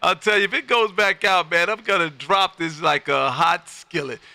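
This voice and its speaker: A man hosting casual amateur radio chat, with a loud, folksy, animated patter. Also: high voice, moderate pace, gravelly, dry recording. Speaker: amateur radio operator and livestream host. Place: home radio room.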